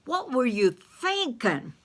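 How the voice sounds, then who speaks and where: A woman voicing a squeamish, panicky grown man who whines and yells in a shrill voice. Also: sing-song, takes it slowly, heavily acted. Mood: disgusted. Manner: shrill, frantic, yelling.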